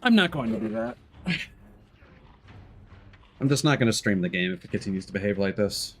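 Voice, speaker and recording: A man live streaming a game, thinking aloud in casual, halting, muttering talk with some swearing. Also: fairly low pitch, animated, fairly bright tone, slight background noise.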